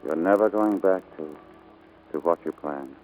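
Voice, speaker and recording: A man's voice: deep voice, a little slow, phone-quality sound. An actor playing a devoted, earnest male pilot in love, in 1940s radio drama style. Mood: eager, loving. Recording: vintage 1940s radio recording, faint music.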